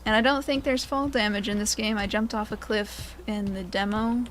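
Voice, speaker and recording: A woman's voice: mid-range voice, fairly even intonation, moderate pace, slight background noise. A woman giving casual, playful video game commentary with goofy word choices. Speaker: video game let's player. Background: game music.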